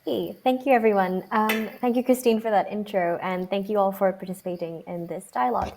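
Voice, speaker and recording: A woman's voice: medium pitch, steady tone, a little fast, gravelly, a little mellow, a little hiss or hum. A young woman from Singapore moderating a panel discussion over a video call, reflective, with frequent um fillers.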